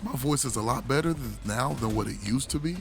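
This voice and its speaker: A man with a hoarse voice, recovering from illness, giving a casual, rambling game review full of restarts and laid-back slang. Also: medium pitch, animated, fairly quick, music in the background.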